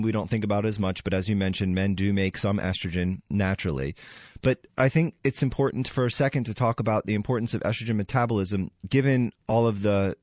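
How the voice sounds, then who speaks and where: Hoarse man hosting an interview. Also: fairly low pitch, dry recording, muffled recording. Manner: relaxed, chatty, halting. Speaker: show host. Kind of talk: casual interview.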